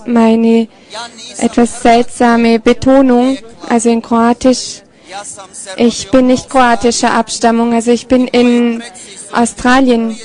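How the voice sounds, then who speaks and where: Female live interpreter, higher-pitched, mellow, halting piecemeal delivery at an unhurried pace. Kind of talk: live consecutive interpreting of a testimony.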